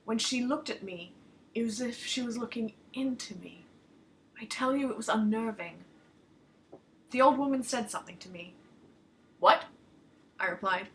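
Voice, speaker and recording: A woman narrates a first-person tale in expressive storytelling style. Her voice sits a little high and is very bright and forward. She reads as an author sharing her own fiction. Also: normal speed, slight background noise, rough recording. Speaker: author reading own short story aloud.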